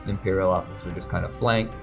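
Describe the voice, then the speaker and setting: Man, low voice, game master, loose rambling narration, faint music behind. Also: steady tone, rapid-fire, gravelly. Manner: laid-back, rambling narration with fillers.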